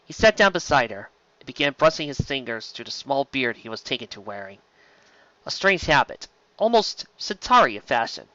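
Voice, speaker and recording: Male narrator for dramatic sci-fi fiction: bright, intense delivery, mid-pitched, slightly dull recording. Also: very animated, moderate pace. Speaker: story reader.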